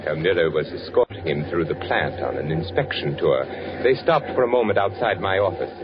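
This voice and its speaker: An actor playing an agitated, trapped undercover architect, narrating intensely in a 1940s radio thriller. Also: male voice, low-pitched, animated, brisk, noisy background. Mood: tense, brooding. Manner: brooding, intense first-person confiding with dramatic repetition. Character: agitated, trapped male architect working undercover in Nazi Germany. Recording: muffled vintage 1940s radio recording.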